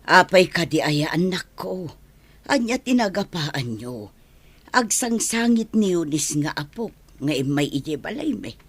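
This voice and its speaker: A woman's voice: on the low side, very animated, measured pace, slightly rough, no echo, full character voice. A woman playing a protective elderly grandmother who questions and scolds reproachfully in a radio drama. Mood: reproachful, concerned.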